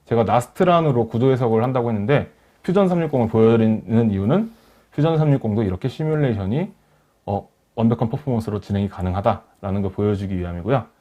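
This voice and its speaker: A man narrating a software tutorial as a webinar instructor, explaining each step in a polite, formal way. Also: mid-range voice, quick pace. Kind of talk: software tutorial narration over screen demo. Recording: dull lo-fi voice recording.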